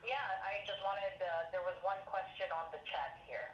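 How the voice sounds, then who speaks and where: A woman's voice: lowish voice, fairly even intonation, moderate pace. A woman acting as moderator of a live question-and-answer conference call, introducing callers and relaying questions. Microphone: conference call connection. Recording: echoey, muffled webinar call with background noise.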